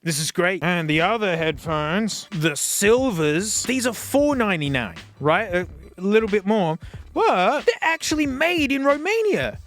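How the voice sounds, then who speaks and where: An Australian man giving a casual, unscripted gadget review in an excitable, jokey, slangy way. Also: high-pitched, a little slow, faint music behind.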